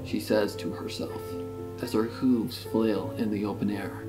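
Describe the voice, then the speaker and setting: A man reading scripted dramatic story narration in a measured, solemn manner. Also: fairly low pitch, steady tone. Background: guitar music.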